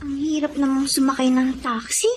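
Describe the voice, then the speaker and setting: Casting: Filipino teen schoolgirl, played by a woman, high girlish voice, carefree and headstrong, radio drama. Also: little pitch movement, normal speed, slight background noise, close to an everyday voice. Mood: grumbling, smug. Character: carefree, headstrong teenage schoolgirl, policeman's daughter.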